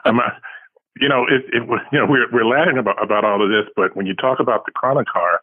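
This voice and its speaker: A man's voice: mid-range voice, fast talker, dry recording. A man of about seventy giving casual, modest and reflective interview answers as a writer, heard over a tinny phone line. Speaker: guest, science fiction writer.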